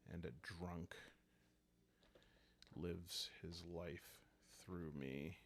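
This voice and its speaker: Male, deep register, extremely unhurried; calm solo roleplay narrator who pieces the story together aloud. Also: slight background noise. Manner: calm, thinking aloud in short halting phrases. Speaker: host and solo player of a journaling roleplaying game. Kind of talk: calm, soothing improvised solo roleplay narration for sleep listening.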